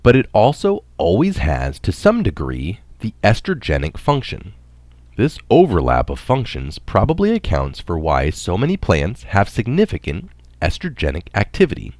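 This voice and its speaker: A man's voice: sits low, huge pitch swings, moderate pace, a little mellow, no echo. A man narrating a scripted science article, reading it aloud.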